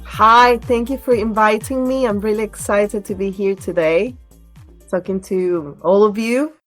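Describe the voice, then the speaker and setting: A woman's voice: medium pitch, measured pace, soft background music. Woman in conversational interview. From Venezuela. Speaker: podcast guest, relocation director. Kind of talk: conversational interview answers, storytelling and explaining.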